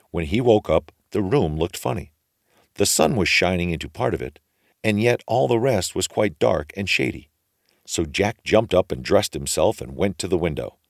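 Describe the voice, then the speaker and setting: Low, creaky, animated male storyteller. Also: no echo, studio quality. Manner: expressive, measured storytelling. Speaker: storyteller for children. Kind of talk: scripted fairy-tale narration.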